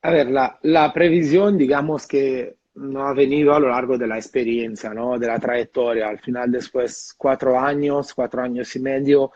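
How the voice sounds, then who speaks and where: Italian-accented man, halting and relaxed. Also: medium pitch, no echo. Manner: hesitant, many fillers and tag questions. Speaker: bartender guest. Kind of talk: casual interview over video call. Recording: muffled dry lo-fi audio.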